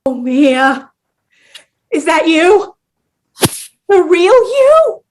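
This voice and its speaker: Woman acting a slow, swooping, earnest heroine. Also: extremely high pitch, very animated, a little mellow, slight background noise, exaggerated voice. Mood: tearful relief. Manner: deliberate, heartfelt, theatrical. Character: earnest, defiant, dramatic holiday mascot, a woman. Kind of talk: radio play acting.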